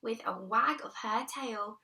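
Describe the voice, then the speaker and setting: A woman reading a children's picture book aloud in an expressive, deliberate storytelling manner, leaning on the rhymes. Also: fairly high pitch, distant mic, rough recording. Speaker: primary school teacher reading aloud.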